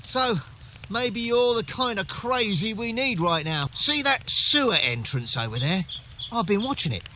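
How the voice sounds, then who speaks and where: A man's voice: high voice, big pitch swings, measured pace, bright, forceful tone, slight background noise, heavily acted. A man playing a weary, wary guard at a refugee camp in a fantasy game, blunt and exasperated. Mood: conspiratorial, earnest. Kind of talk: fantasy video game character acting.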